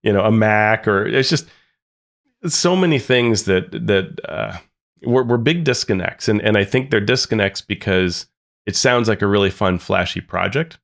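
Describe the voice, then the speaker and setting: A man's voice: fairly low pitch, normal speed, vocal fry, a bit bright. A man co-hosting a podcast, talking earnestly and critically with frequent restarts. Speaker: podcast co-host. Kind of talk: casual discussion.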